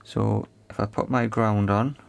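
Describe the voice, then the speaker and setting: Low-voiced man muttering through a repair. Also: steady tone, unhurried, creaky, clean tone. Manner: tentative, talks to himself while working. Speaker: repair video presenter. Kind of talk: hands-on repair commentary, thinking aloud.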